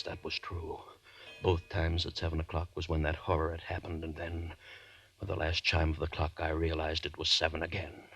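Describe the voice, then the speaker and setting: Deep-voiced haunted man in old radio horror. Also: vocal fry, slightly rough, highs cut off, full character voice. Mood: hushed dread. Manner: intense, halting, melodramatic, from hushed dread to shouting. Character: haunted, guilt-ridden man unravelling into terror. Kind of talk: 1940s radio horror acting, first-person narration. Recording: vintage 1940s radio recording.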